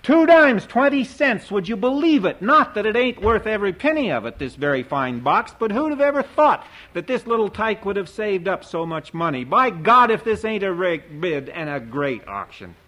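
A man voicing a loud, folksy male country auctioneer who rattles off bids in rapid patter. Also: high-pitched, big pitch swings, voice pushed up, heavily acted. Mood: hawking bids.

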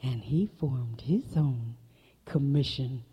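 A woman's voice: very deep voice, slow, breathy edge, highs cut off. A woman telling folk tales to an audience, dramatic, dropping into whispers for effect. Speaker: storyteller. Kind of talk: folk tale storytelling performance.

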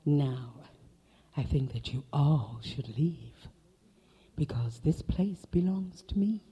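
A woman voicing a smooth, self-assured male elephant in a folk tale. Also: low-pitched, lively intonation, slow, warm tone, highs cut off, exaggerated voice. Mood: smug.

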